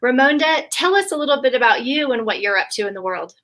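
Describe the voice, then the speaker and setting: Woman host, eager and effusive, slightly high voice, video call audio. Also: expressive, fast. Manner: enthusiastic, warm praise of guests. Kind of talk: casual video call panel.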